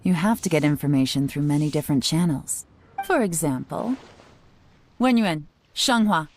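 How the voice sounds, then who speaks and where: An actress voicing a cool, composed female spymaster in a video game, measured, assured and commanding. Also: low-pitched, expressive, a little hiss or hum. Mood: cool, instructive.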